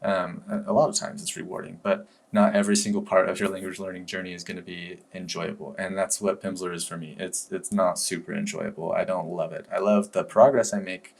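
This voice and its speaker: Man, deep voice, monotone, casual vlog review, relaxed, loose and rambling. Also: fairly quick, creaky, few pauses. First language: English. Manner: relaxed, rambling with fillers and restarts. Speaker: language learning vlogger. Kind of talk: casual review talk to camera.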